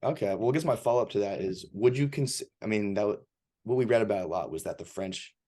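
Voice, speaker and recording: A man's voice: lowish voice, fast, warm tone. Curious man interviewing a friend. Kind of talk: casual interview chat.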